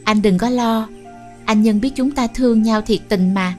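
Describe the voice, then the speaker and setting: An actress playing a gentle young bride-to-be in an animated fairy tale, speaking in a soothing, understanding way. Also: female voice, on the high side, moderate pace, lightly acted. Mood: gentle, reassuring. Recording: music clearly behind the voice.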